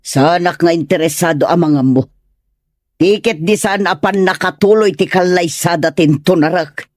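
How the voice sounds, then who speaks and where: A woman's voice: on the low side, normal speed, darkish tone, no echo, clean studio sound, close to an everyday voice. A woman with a Filipino Ilocano accent acting a proud, disapproving middle-aged mother in an audio drama, haughty and complaining. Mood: scheming disapproval. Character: disapproving, proud well-to-do mother opposing a wedding. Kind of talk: radio drama acting in dialogue.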